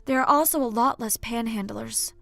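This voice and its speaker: A woman's voice: fairly high pitch, moderate pace, gravelly, fairly bright tone, dry recording, soft background music. A woman voicing a wry, gossipy female bartender in an audiobook, chatty and matter-of-fact. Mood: concerned, informative. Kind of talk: scripted audiobook character acting.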